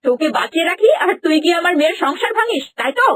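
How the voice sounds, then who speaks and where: A woman's voice: very high-pitched, animated, rapid-fire, a bit bright. A woman voicing a cruel, scheming stepmother in a cartoon fairy tale, scolding and muttering to herself. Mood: vicious, menacing.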